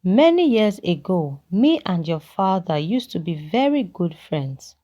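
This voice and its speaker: A woman voicing a kindly, regretful old man who talks in a measured, fatherly way in a narrated folktale. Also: fairly low pitch, sing-song, mellow. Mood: reminiscing, regretful. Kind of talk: character dialogue in a narrated folktale.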